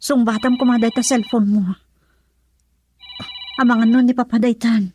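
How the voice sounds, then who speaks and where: Actress as an anxious, high-voiced wife. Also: female voice, flat delivery, moderate pace, darkish tone, a little hiss or hum, close to an everyday voice. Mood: anxious, pleading. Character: anxious wife mediating between father and husband. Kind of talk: Ilocano radio drama acting.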